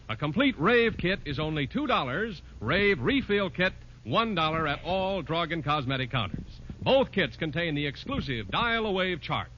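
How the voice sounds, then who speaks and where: Old time radio ad guy, super lively pitch, bright voice, muffled recording. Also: a little hiss or hum. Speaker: commercial announcer. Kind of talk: polished 1950s radio ad read.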